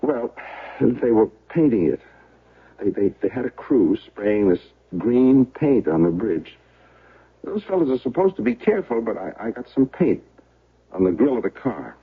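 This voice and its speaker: An actor playing a self-pitying accused man in a radio drama, speaking in a halting, stammering, troubled way. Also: mid-range voice, big pitch swings, breathy edge. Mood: anxious, defensive. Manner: halting, stammering, agitated then dejected. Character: defeated, self-pitying accused man, a failed small-town bookseller. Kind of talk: 1970s radio drama acting. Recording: tinny, band-limited old radio recording.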